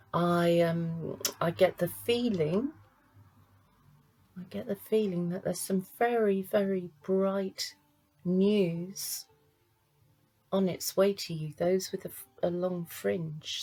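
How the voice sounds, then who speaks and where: A woman reads cards as a psychic medium. She speaks unhurriedly, with a slightly low voice. She pauses often, as if searching for each impression. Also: warm tone. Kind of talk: monthly astrology and card reading to camera.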